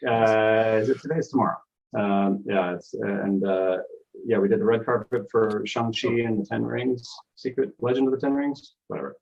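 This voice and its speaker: A tired man talking as a guest on a video call, halting with many fillers and restarts. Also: lowish voice, steady tone, gravelly, a little mellow. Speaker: guest producing live events. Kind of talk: casual video call chat. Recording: dull lo-fi call audio.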